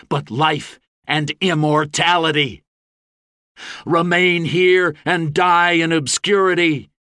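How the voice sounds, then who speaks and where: A man playing an impassioned, loud battle-rallying bandleader. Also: on the high side, no room sound, clean studio sound, pitched above the performer's own voice, heavily acted. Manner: impassioned, declamatory, shouting oratory. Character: rousing male mercenary bandleader rallying an army before battle. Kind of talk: audiobook fantasy character acting.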